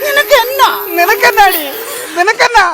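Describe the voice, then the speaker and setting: An actress playing an agitated scolder in a comedy clip, repeating herself shrilly. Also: female voice, very high voice, expressive, moderate pace, over loud music. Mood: agitated scolding.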